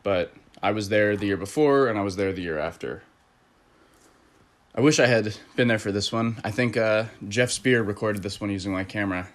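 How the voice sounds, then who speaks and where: A man hosting a casual online chat, talking in a relaxed, conversational way with fillers. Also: on the low side, normal speed. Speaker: host.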